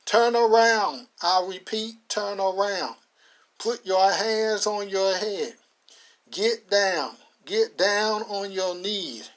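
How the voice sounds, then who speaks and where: Voiced by a man, a stern, commanding sheriff who drags out every order slowly and heavily, with a voice sitting fairly high for a man. The tone stays hard and unhurried, each word leaned on. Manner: drawn-out barked orders. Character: stern slow-talking male sheriff, commanding.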